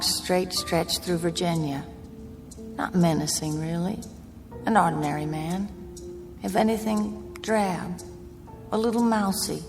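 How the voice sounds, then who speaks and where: A woman's voice: lowish voice, creaky. An actress playing an anxious, haunted young woman traveling alone in a radio drama, speaking in a hesitant, polite, uneasy way. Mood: haunted, brooding.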